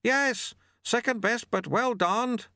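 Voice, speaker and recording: Guy doing practical sweeper man, way high voice, calling out brisk like over wind, audiobook. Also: dry recording, clean studio sound, full character voice. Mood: brisk, loud. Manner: shouting over rushing wind.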